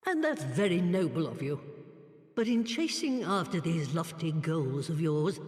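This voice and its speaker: A severe, cynical older mentor in a fantasy game. He admonishes bluntly and slowly, with strong swings in pitch. The voice rings in an echoing hall. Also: big pitch swings, a little mellow. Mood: stern, grave. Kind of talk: dramatic fantasy game acting.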